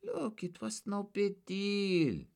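A man's voice: fairly high pitch, very animated, moderate pace, fairly bright tone, voice pushed up. A man voicing a wary small-time male counterfeiter who is nervous, evasive and scornful of the police. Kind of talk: audiobook character voice.

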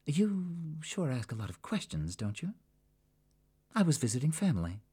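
A man's voice: on the high side, big pitch swings, normal speed, slightly rough, pitched above the performer's own voice. Give me a man voicing a timid, guarded young woman. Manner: soft, guarded. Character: timid, evasive young woman traveler, foreign-sounding.

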